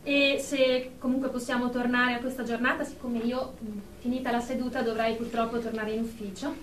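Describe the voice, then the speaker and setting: A haughty, irritable businesswoman played by an actress speaks in a high voice, snappish and self-important. It is comic radio drama, caught in an echoing room away from the mic. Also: level tone, fairly quick, close to an everyday voice. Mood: irritated. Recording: roomy, distant mic with faint noise.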